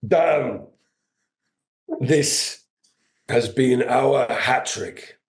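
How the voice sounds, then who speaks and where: A man who speaks as a guest actor in a measured, mock-formal manner. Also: mid-range voice, slight background noise. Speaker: guest video game actor.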